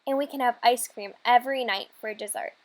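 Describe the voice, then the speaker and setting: A woman reading aloud a children's storybook as a hopeful, dreamy young girl, earnest and measured. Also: a little high, lightly acted.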